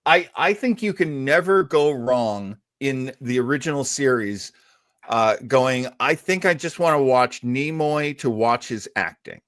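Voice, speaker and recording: A man's voice: medium pitch, expressive, a little slow, a bit bright, dry recording. Male podcast host, close dry sound. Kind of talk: casual review discussion of a TV episode.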